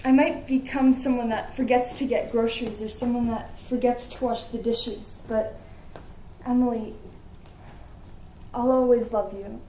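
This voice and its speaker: A woman's voice: fairly high pitch, fairly even intonation, a little slow, mellow, lightly acted. A young woman on stage playing a tender, forgetful mother who reads out wistful diary entries to her daughter. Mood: tender, apologetic. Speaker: student actor in a one-act play. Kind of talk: dramatic monologue, reading diary entries. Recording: echoey hall, distant mic, faint noise.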